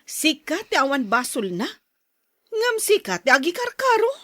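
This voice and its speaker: A woman's voice: high-pitched, wildly swooping pitch, normal speed, pushed and bright, no room sound, studio quality, full character voice. A woman with an Ilocano accent acting a pitying older female relative in a radio drama, sympathetic and lamenting. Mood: pleading pity. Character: pitying older female relative consoling a heartbroken young man.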